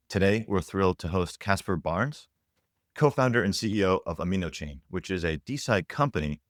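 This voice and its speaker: A man's voice: low-pitched, no echo, studio quality. A man hosting a podcast, reading an upbeat intro and asking friendly interview questions. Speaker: podcast host.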